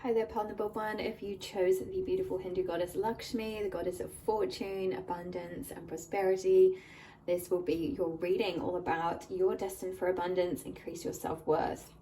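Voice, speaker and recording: A woman hosting a tarot reading, her voice a little croaky and mellow in tone. She speaks gently and softly to her viewers, sounding a bit back from the mic with a faint hiss behind her. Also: fairly low pitch, level tone, normal speed, recorded from a distance, poor audio. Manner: gentle, warm address to viewers. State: slightly croaky from a cold. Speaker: tarot reader and channel host. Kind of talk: pick-a-card tarot reading. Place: indoors at a table, hands-only camera.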